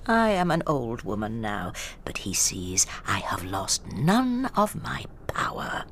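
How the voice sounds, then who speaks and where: Radio drama role: bitter elderly wife, deliberate, venomous, very wide swings of pitch, faint noise behind. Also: female voice, huge pitch swings, heavily acted. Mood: triumphant spite. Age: older. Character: bitter, possessive, menacing old wife.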